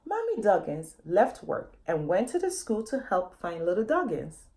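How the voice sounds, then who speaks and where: A woman's voice: medium pitch, very animated, moderate pace, warm tone, slight background noise. A woman author reading a children's story aloud, expressively, often addressing young listeners directly with questions.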